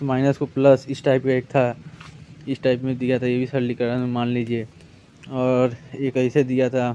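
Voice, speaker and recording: A man's voice: medium pitch, a little mellow. A man giving an informal exam review lesson, explaining in a colloquial, repetitive way as a coaching teacher. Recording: clear background noise.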